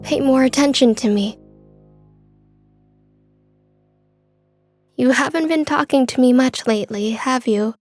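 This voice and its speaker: Woman acting a polite, high-voiced young woman. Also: darkish tone, faint music behind. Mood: gently fond. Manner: formal, measured. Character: polite, reserved young woman, formal and quietly caring. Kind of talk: dramatic dub of a manga story.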